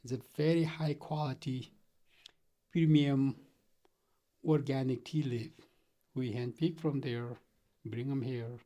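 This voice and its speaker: A man's voice: medium pitch, fairly even intonation, takes it slowly, long pauses, dark tone. A middle-aged man from Nepal, earnestly telling his story as a guest in a casual interview, chuckling often. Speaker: company founder guest.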